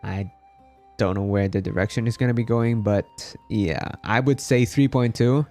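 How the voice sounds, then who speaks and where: A man's voice: on the low side, moderate pace, a little mellow, faint music behind. A man from the Philippines chatting casually as he hosts a game livestream. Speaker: game streamer.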